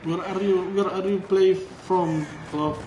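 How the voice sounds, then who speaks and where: A man's voice: high voice, fairly even intonation, measured pace, highs cut off. A man from Indonesia, chatting casually over voice chat while gaming, friendly and full of questions. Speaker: gamer in online co-op. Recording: echoey voice chat mic with game noise. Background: game sounds.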